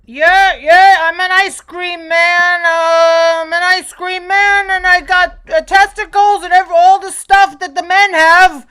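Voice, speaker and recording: A woman's voice: very high-pitched, fairly even intonation, slow pace, few pauses, sharp tone, exaggerated voice. A woman playing a clumsy macho young man who blusters through a job interview. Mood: swaggering. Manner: gruff bro swagger, blurts, bluffs. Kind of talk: live improv comedy.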